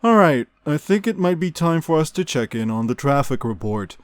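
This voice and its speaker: A man playing an unflappable local radio news anchor, reading parody bulletins in a measured, deadpan announcer delivery. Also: on the high side, no room sound. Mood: composed, dry. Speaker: host. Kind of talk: scripted news broadcast parody.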